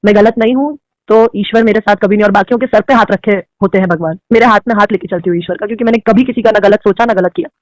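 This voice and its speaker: A woman's voice: medium pitch, fast, hardly any pauses, no echo. A young woman, an actress, answering interview questions in an outspoken, emphatic way and slipping into English phrases.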